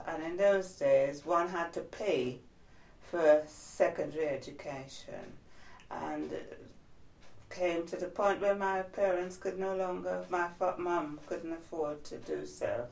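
Older woman from Trinidad, slow and reflective. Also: lowish voice, gravelly, reverberant, distant mic, low-quality recording. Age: 70s. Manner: measured, reflective, trailing off softly. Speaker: oral history interviewee. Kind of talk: oral history interview.

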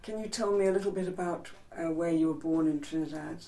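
Hesitant woman interviewer, mellow tone. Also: low voice, fairly even intonation, quick pace, a little hiss or hum. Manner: hesitant, polite questioning. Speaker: oral history interviewer. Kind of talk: oral history interview.

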